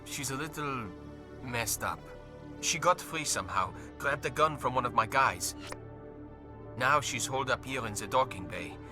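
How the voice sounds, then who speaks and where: A man plays an uneasy young-ranking officer in a sci-fi game, a navy lieutenant with a mid-pitched voice. He speaks hesitantly and earnestly, with an apologetic edge, over clearly audible music. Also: lively intonation, moderate pace, natural voice. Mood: worried, pleading. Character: anxious, apologetic male navy lieutenant on docking bay duty. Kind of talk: video game voice acting.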